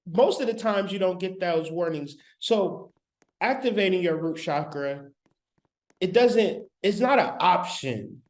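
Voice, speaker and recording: A man with a high voice lectures on spirituality over a live stream, recorded on his laptop's mic. His delivery is emphatic and colloquial, circling back over his points and talking straight to the people watching. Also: lively intonation, unhurried. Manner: emphatic, repetitive, colloquial, talks straight to viewers. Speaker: spiritual teacher.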